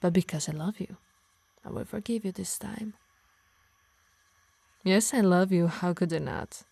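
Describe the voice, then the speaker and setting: A woman performs an obsessive harpy woman for an ASMR roleplay, speaking very close and dry with a light Italian accent. Her breathy voice drifts into whispers and slow, menacing emphasis, tender and possessive at once. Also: lowish voice, dark tone, no echo, full character voice. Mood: tender, lovestruck. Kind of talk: intimate ASMR roleplay acting.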